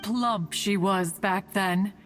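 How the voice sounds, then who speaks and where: Stately, slow-speaking ancient immortal woman, acted by a woman. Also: mid-range voice, music in the background, natural voice. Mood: fondly teasing. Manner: stately, formal archaic phrasing, calls herself "one". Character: ancient crane adeptus, proud, doting, archaic female immortal. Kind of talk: video game voice acting.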